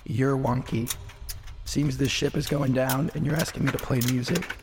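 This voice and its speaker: A man's voice: medium pitch, steady tone, normal speed, background noise, natural voice. An actor playing a restless, persuasive, flirtatious male shipmate in a naturalistic audio drama. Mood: restless, pleading.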